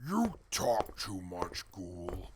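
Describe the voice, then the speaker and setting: A man playing a haughty, disapproving super mutant in an audio drama, with a rough voice and stiff, formal phrasing. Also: sits low, very animated, very slow, very noisy, exaggerated voice. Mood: grudging. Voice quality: gravelly, rough. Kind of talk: post-apocalyptic audio drama acting.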